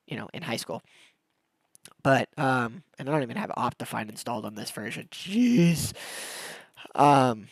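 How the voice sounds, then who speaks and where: A man's voice: mid-range voice, no echo. A young man chatting casually on a game livestream, rambling in a laid-back, slangy way with a congested, nasal voice from a cold. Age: young adult. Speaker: game streamer. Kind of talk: casual livestream chat while gaming.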